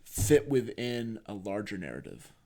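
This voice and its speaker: Male podcast co-host, lowish voice, reflective and explanatory, conversational delivery. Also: big pitch swings, measured pace, gravelly, darkish tone. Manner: reflective, explanatory, thinking aloud. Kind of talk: conversational discussion.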